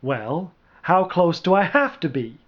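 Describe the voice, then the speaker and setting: A man's voice: a little high, sing-song, unhurried, dull audio. A man voicing a brash, determined rock roadie turned battlefield general, speaking emphatically in a storybook reading. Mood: exasperated.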